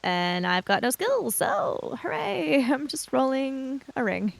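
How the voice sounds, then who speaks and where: Slow-spoken woman with a creaky voice. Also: high-pitched, big pitch swings, no echo. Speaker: player in a tabletop roleplaying game. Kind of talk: narrating her character's actions in a tabletop game.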